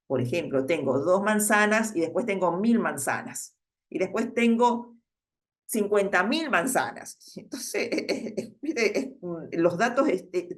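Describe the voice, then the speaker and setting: A woman giving a class lecture, explaining patiently and checking in with her students, with frequent hesitations. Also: medium pitch. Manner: explains patiently, asks "¿sí?" often, many "eh" fillers. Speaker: instructor.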